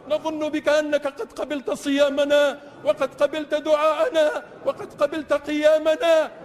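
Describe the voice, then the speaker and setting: A man leading a congregational supplication as imam, pleading with passion in chanted, repeated phrases. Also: very high voice. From Tunisia. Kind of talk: Islamic supplication prayer in classical Arabic, chanted oratory. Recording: long echoing reverb of large space.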